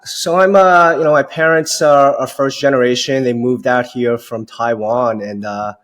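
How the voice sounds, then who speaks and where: A man's voice: mid-range voice, fairly even intonation, moderate pace, fairly bright tone. A man in his forties from Long Island, New York, talking casually as an interview guest and often saying "you know". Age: 40s. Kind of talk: casual conversational storytelling.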